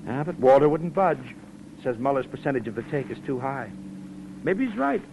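A man playing a smooth-talking schemer who casually sweet-talks his girl, in 1940s radio drama acting. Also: medium pitch, big pitch swings, moderate pace, a little mellow, rough recording. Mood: offhand. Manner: casual, slangy, feigned reluctance. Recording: old radio recording with faint noise.

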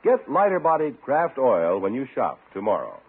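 A man's voice: mid-range voice, huge pitch swings, normal speed. Male 1950s radio announcer, polished and emphatic, hugely varied intonation, narrow tinny broadcast with slight noise.

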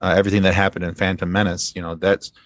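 Man, lowish pitch, very dry sound, casual podcast guest, halting repeated phrases. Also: level tone, dry recording. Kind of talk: casual chat, pop-culture commentary.

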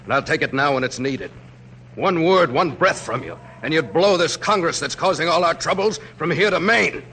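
An actor playing a brisk, loyal, plainspoken military aide with a dry manner in classic radio drama. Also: male voice, fairly high pitch, expressive, pushed and bright. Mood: urgent. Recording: noisy old radio recording.

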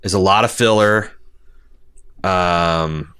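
Male podcast co-host, low-pitched but bright and punchy, casual chat and mail reading. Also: slow, smooth. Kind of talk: casual chat and reading listener messages aloud.

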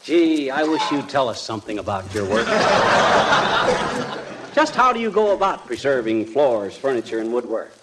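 A man's voice: mid-range voice, sing-song, a little slow, pauses often. A man playing an eager, boastful, bungling husband with folksy slang in a 1940s radio comedy. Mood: teasing. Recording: slightly noisy vintage radio recording.

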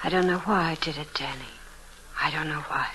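A woman's voice: low voice, a little fast, sharp tone, slight background noise, rough recording. An actress playing a tense, frightened young woman in an old-time radio thriller, hesitant, breathless and dramatic. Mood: shaken.

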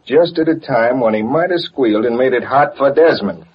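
An actor playing a brash, excitable newspaperman in an old radio drama, full of colorful slang and exclamations. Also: mid-range voice, moderate pace, breathy edge. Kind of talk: 1940s radio acting. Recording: muffled, slightly noisy old radio recording.

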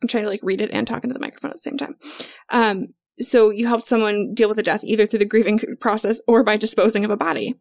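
A woman's voice: mid-range voice, fairly even intonation, fast, gravelly, few pauses. A woman chatting playfully and informally while making a character for a tabletop game. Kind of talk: casual chat during tabletop game character creation.

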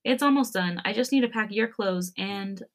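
A woman's voice: mid-range voice, normal speed, close to an everyday voice. A woman voicing a weary, needy pregnant young wife straining through labour in a story.

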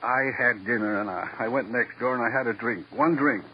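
A man's voice: mid-range voice, a little fast, natural voice. An American man playing a rattled, defensive salesman under questioning, stammering, in a classic radio drama. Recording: dull, noisy old radio recording.

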